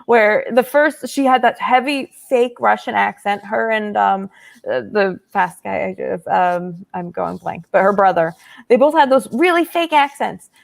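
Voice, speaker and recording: A woman guesting on a podcast, chatting in an animated, opinionated way and laughing easily. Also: medium pitch, measured pace, vocal fry. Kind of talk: casual chat.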